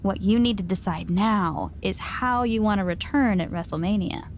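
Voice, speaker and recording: A woman's voice: medium pitch, normal speed, slight background noise, lightly acted. An actress playing a teasing, affectionate woman wrestling promoter in a video game, speaking conversationally. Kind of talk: video game cutscene acting.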